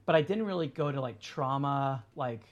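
A man's voice: medium pitch, lively intonation, moderate pace, dull audio. A man in his thirties chatting casually as a podcast guest, full of fillers and restarts. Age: 30s. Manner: rambling, many fillers and restarts. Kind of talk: casual chat.

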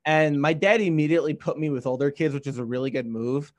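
A young American man with a slightly high voice speaks in a casual, conversational way. He rambles a little through his thoughts and leans heavily on the word "like.". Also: steady tone, fast talker. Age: early 20s. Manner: rambling, heavy use of "like".

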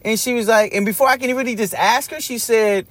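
A man co-hosting a casual podcast, telling a story with lively acted-out quotes and sports slang. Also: very high voice, brisk, a crowd in the background. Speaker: podcast co-host. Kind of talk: casual chat.

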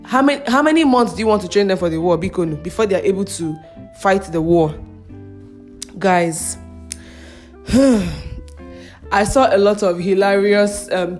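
A woman's voice: fairly low pitch, a little mellow. A young Nigerian woman with a Nigerian accent, hosting a casual podcast and chatting through the news with frequent laughs and mocking asides. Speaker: podcast host.

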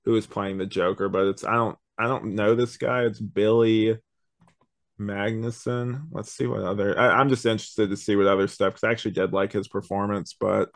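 A man's voice: fairly low pitch, level tone, normal speed, gravelly, dry recording, studio quality. A man hosting a podcast, chatting casually about a review and rambling as he thinks aloud. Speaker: podcast host.

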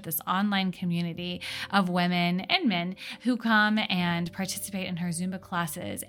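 A woman podcast host chatting and interviewing in a casual, animated storytelling manner. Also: lowish voice.

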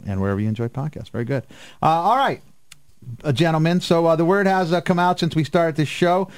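A man's voice: on the high side, a little fast, dry recording. American man hosting a live radio show. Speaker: radio show and podcast host. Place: radio studio.

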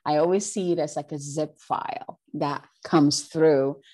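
A woman's voice: deep voice, steady tone, unhurried, darkish tone. A woman from Ghana, a spiritual healer, talking in an earnest, casual way as an interview guest, with many "you know" and "right" fillers.

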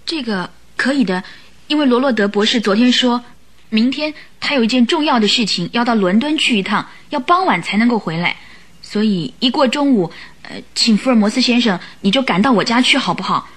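A woman's voice: a little high, animated, fairly quick. An actress playing a polite, anxious young lady seeking help, in a radio drama. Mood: polite, grateful. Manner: polite, deferential.

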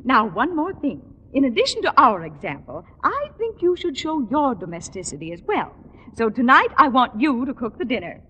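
An actress playing a chirpy, bossy middle-aged wife in 1950s radio comedy, gushing and fluttery, on an old recording. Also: female voice, sits high, very animated, moderate pace, bright, forceful tone, husky, dull audio, exaggerated voice. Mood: brisk, coaxing.

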